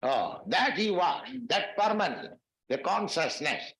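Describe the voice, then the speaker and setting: A man giving a spiritual lecture in measured, halting phrases and chanting Sanskrit verses. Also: high voice, very animated, a bit bright, echoey room. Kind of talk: recorded philosophy lecture.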